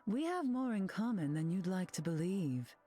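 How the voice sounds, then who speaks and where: Woman doing vain telepathic queen, teasing, self-admiring, animated pitch, video game voice line. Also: normal speed, lightly acted. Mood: sardonic.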